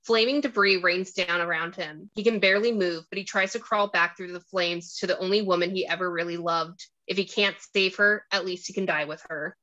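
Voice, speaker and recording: A woman's voice: on the low side, fairly even intonation, fairly quick, few pauses, sharp tone, dull audio. A woman narrating a screenplay, reading out stage directions and scene descriptions.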